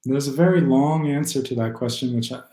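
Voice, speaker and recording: A young adult man, a poet and critic, talking over a video call from a room at home, thoughtful and hesitant, with many pauses and fillers. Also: medium pitch, mellow. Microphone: video call webcam. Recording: roomy echo.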